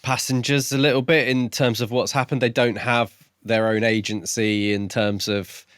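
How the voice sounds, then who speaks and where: A man co-hosting a podcast, discussing a book casually in measured, tentative phrases. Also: medium pitch, level tone, fairly bright tone, smooth, dry recording, clean studio sound. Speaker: podcast co-host.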